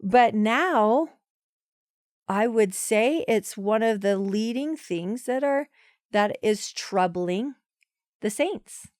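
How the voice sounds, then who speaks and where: A woman's voice: mid-range voice, expressive, slow, a little mellow, no echo, studio quality. A woman in her forties, a podcast guest, talking in a chatty, emphatic way with many restarts. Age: 40s. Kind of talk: casual conversational interview.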